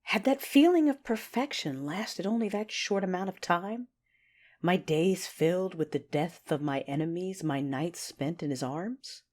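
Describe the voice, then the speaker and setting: A woman playing a passionate, fierce but wounded Sith warrior, narrating her own story in an intimate, breathy audio-drama style. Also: lowish voice, expressive, unhurried, a little mellow. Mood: hurt, disbelieving. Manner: intimate, breathy, intense delivery.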